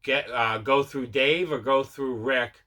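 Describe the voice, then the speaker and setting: A man's voice: mid-range voice, fairly even intonation, measured pace, pushed and bright. A man hosting a casual vlog about his collection, rambling and repeating himself as he talks. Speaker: host of a mail day collecting vlog. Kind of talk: casual chat showing movie pickups.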